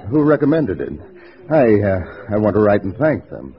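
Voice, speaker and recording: Suave, menacing low-voiced host. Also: male voice, big pitch swings, moderate pace. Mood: smooth, courteous. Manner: smooth, insinuating. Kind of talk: 1950s radio drama acting. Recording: muffled, noisy old radio recording.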